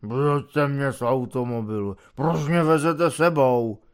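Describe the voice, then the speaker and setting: A man's voice: medium pitch, smooth, close to an everyday voice. A man reading an audiobook voices a drunk, maudlin middle-aged army chaplain who rambles, whines and pleads. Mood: muddled rambling. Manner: slurred, whining, rambling drunk speech. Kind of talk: audiobook character voice.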